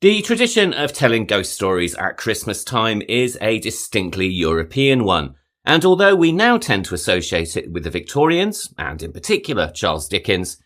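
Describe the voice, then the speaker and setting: A man with a low voice reads a scripted podcast as its host. He delivers it in a measured way, with animated pitch that climbs at the start of each phrase and drops at its end. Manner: measured, lively rises then falling phrase endings. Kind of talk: scripted narration.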